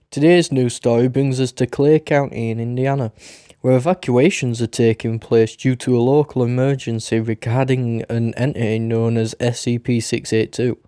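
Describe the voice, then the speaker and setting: A man acts as a male rock radio host in a radio drama. His voice is mellow and sits at a medium pitch, recorded dry and close. He presents the news casually, with urgency about danger. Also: clear, resonant tone, no echo, natural voice. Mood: composed.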